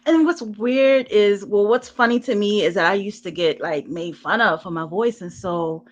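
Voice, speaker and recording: A woman performing sensual spoken word poetry in a soft, smooth, hushed voice. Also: medium pitch, highs cut off. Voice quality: soft, smooth, breathy-edged voice. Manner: hushed, slow sensual delivery with soft laughs in chat. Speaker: guest poet.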